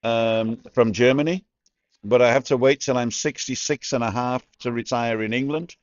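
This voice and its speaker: A man's voice: medium pitch, steady tone, moderate pace, no room sound. A man in his sixties hosting a vlog, chatting and asking lots of questions in a bar. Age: 60s. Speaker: vlogger host. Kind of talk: casual chat. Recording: slightly dull sound.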